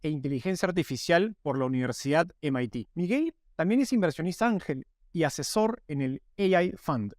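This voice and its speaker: Higher-pitched male podcast host. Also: a little mellow, no room sound, clean studio sound. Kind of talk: scripted narration and podcast interviewing.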